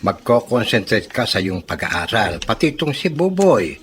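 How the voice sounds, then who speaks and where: Low-voiced, fatherly Filipino adviser. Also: very animated, moderate pace, a bit bright. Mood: earnest. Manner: admonishing, earnest. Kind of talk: Filipino radio drama acting. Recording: faint background noise.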